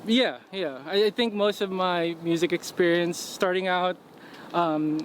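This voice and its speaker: A man from the Philippines with a slightly high voice chats loosely in an interview, filling his sentences with "you know" and "like". He is recorded outdoors on a city street, and clear traffic noise runs behind him. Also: a little slow. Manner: relaxed, many fillers like "you know". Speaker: interviewee, musician. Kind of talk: casual interview.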